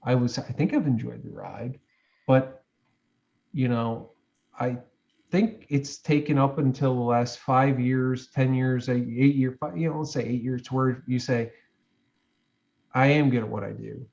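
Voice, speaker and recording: A man in his forties, speaking at a medium pitch, who rambles through reflective advice. His sentences restart often and are full of fillers. The audio is a little muffled. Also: a little slow, creaky, a little mellow. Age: 40s. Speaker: guest. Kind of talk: reflective interview chat.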